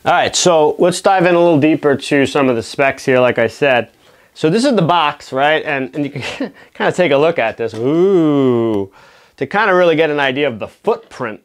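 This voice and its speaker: Conversational man with a slightly higher voice. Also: lively intonation, moderate pace. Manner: conversational and explanatory, with checking tags like "right?". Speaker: product reviewer. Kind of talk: casual product review to camera.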